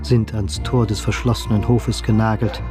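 A man's voice: on the low side, little pitch movement, moderate pace, over music, natural voice. A man narrating a historical radio drama as its storyteller. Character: male storyteller of a historical tale.

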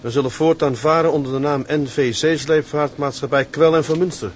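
An actor playing an earnest, forthright young ship's mate in a radio play. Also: male voice, on the high side, fairly even intonation, a little fast, a little hiss or hum, lightly acted. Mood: reading out.

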